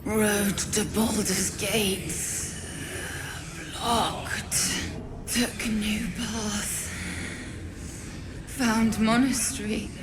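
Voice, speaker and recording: An actress playing a dying woman, speaking in halting, clipped fragments. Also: mid-range voice, flat delivery. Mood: weary. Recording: game scene with loud ambient noise.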